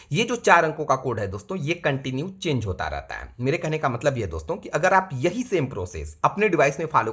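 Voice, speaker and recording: A man's voice: fairly high pitch, fairly bright tone, husky. A man hosting a step-by-step phone repair tutorial, talking to viewers as friends and repeating each step. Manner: repetitive, addresses viewers as friends. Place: indoors at a table, hands-only camera view.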